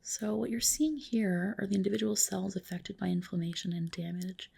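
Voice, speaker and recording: A woman performs an ASMR roleplay as a skin specialist at a spa. Her voice is very breathy and mostly whispered, with a crackle of vocal fry. She explains each step gently and reassuringly. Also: mid-range voice, normal speed. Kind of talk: ASMR roleplay, personal attention.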